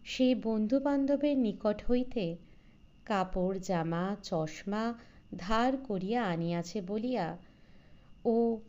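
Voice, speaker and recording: A woman's voice: medium pitch, takes it slowly, long pauses, warm tone, muffled recording. A woman reading a literary story as a scripted audiobook narration.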